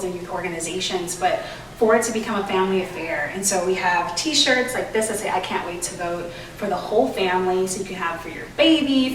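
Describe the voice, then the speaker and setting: A woman's voice: mid-range voice, fairly bright tone, far from the mic. A woman speaking as a children's book author in an interview, earnestly explaining and promoting her work. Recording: roomy echo, off-mic, faint noise.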